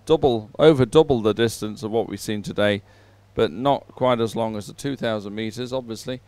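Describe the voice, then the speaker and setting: A man giving unhurried live sports commentary, filling time between races in halting phrases with frequent pauses. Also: fairly low pitch, darkish tone, dry recording.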